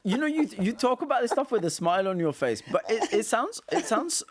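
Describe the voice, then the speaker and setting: A man interviewing a guest, asking gentle probing questions. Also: extremely high pitch, big pitch swings, brisk, crowd noise. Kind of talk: radio interview.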